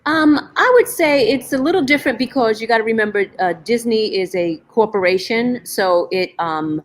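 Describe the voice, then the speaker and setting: A woman's voice: on the high side, moderate pace. A middle-aged woman from Brooklyn, a stage manager, chatting as a guest over a video call and leaning on fillers as she talks. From Brooklyn, New York, USA. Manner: conversational, leans on "you know" and "um". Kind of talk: casual interview chat.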